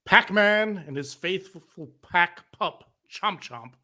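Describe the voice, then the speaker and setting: A man's voice: sits high, animated, takes it slowly, pushed and bright. A man reading scripted narration as narrator of a comedic live table read.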